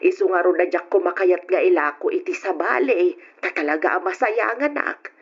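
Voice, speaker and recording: An earnest, husky Ilocano woman. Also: fairly low pitch, normal speed. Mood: earnest, pleading. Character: earnest Ilocano woman of a family radio drama. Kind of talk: old radio drama acting. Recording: dull lo-fi old radio recording.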